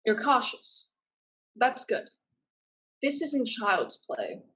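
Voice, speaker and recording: A woman's voice: a little high, sing-song, extremely slow, fairly bright tone, exaggerated voice. A woman acting in a stage play reading as a proud, streetwise young woman who is protective of her sister. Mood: encouraging, firm.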